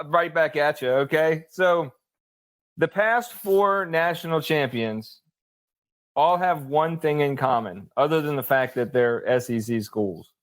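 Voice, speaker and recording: A man, a sports journalist guest, giving casual unscripted commentary in a halting, think-aloud manner. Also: a little high.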